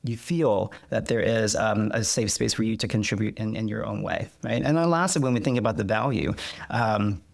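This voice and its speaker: Gravelly-voiced man, reflective. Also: fairly low pitch, normal speed. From United States. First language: Vietnamese. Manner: reflective, many fillers and restarts. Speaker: guest. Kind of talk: conversational interview.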